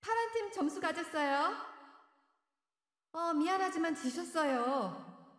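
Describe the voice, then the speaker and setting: A woman voicing a gentle female rainbow unicorn as a video game announcer, making short, polite calls. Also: extremely high pitch, expressive, slow, full character voice. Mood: polite, encouraging. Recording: echoey, long reverb.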